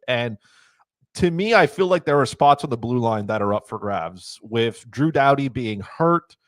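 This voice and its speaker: A man's voice: mid-range voice, no echo, studio quality. A man co-hosting a hockey podcast in casual chat, blunt and emphatic, repeating his points. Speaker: podcast co-host.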